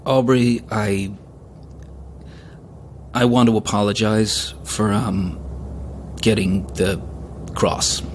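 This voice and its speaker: An actor playing an earnest, proper young newlywed husband in an audio drama, mild and natural in manner. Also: male voice, lowish voice, steady tone, slow, pauses often. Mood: tender, teasing. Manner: mild, prim, gently teasing. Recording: faint vehicle noise.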